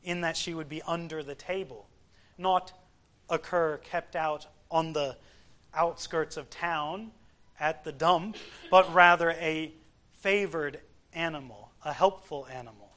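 A man preaching an expository sermon, explaining scripture in deliberate, emphatic short phrases. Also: a little high, bright, forceful tone.

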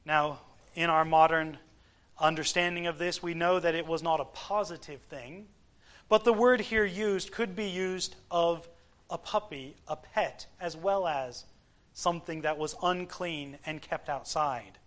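A man preaching an expository sermon, explaining scripture in deliberate, emphatic short phrases. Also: a little high, fairly bright tone.

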